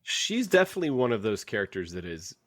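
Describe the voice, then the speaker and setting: A man's voice: on the low side, big pitch swings, quick pace, fairly bright tone, no echo, studio quality. A man co-hosting a casual book discussion podcast, rambling and restarting his sentences as he thinks aloud. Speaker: podcast host.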